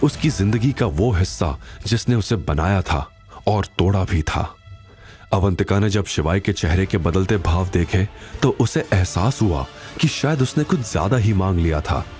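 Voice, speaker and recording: Deep, expressive male drama narrator. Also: unhurried, soft background music. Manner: expressive, suspenseful delivery. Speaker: narrator of an audio drama series. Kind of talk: dramatic scripted story narration.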